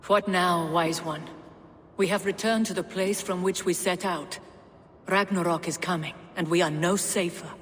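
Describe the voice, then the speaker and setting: A woman playing a proud, sharp-tongued Norse goddess queen in a video game cutscene. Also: lowish voice, steady tone, moderate pace, slight background noise, natural voice. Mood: worried challenge. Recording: long reverb, music behind.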